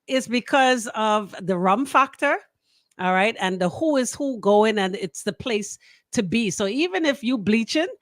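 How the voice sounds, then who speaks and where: Emphatic Bahamian woman, higher-pitched radio host. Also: animated, unhurried, no echo. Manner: emphatic, colloquial, rhetorical questions. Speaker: radio talk show host. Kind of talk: casual opinionated radio banter. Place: radio studio.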